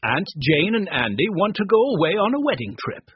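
Man reading a calm old grandfather, measured, husky. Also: high voice, no room sound, muffled recording, voice pushed up, exaggerated voice. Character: kindly elderly grandfather, calm and sensible.

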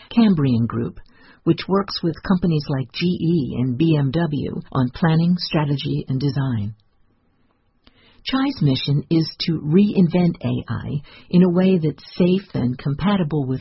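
Husky man reading aloud, dull dry recording. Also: fairly high pitch, no room sound. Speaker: narrator. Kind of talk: scripted read-aloud of an article with quotes.

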